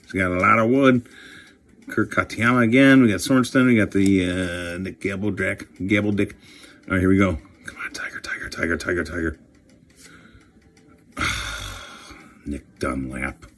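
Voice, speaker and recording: A man chatting casually and excitably while opening trading card packs, swearing now and then. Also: low-pitched, a little slow, long pauses, slight background noise. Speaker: career coach and card collector host.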